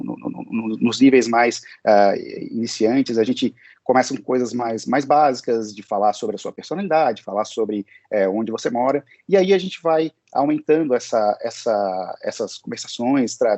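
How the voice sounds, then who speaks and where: A man's voice: medium pitch, dark tone, dry recording. A man teaching English, answering interview questions in a hesitant way, with many fillers and restarts.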